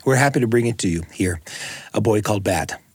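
A man's voice: fairly low pitch, fairly quick. A man hosting a radio show, introducing stories and chatting with colleagues in a studio.

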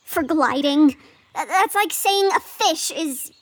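A woman's voice: high voice, expressive, takes it slowly. A woman playing a young, cautious flying squirrel girl, hesitant and anxious, in a radio drama. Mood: nervous.

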